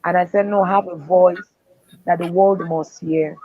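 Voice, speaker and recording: A woman's voice: fairly low pitch, steady tone, a little slow, dry recording. A woman speaker and author giving earnest, faith-driven motivational answers in an interview. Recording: dull, dry lo-fi sound.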